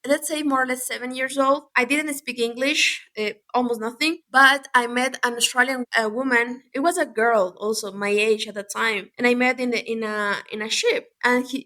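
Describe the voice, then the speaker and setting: Woman, bright fairly high voice, chatty guest, lots of restarts. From Galapagos Islands, Ecuador. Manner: lively, many restarts and fillers. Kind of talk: casual interview talk.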